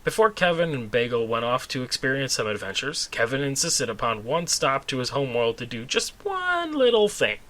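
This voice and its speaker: Bright-voiced man reading a comic story. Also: mid-range voice, very animated, normal speed, hardly any pauses. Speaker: storyteller, host of a story series. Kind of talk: scripted comedic story narration.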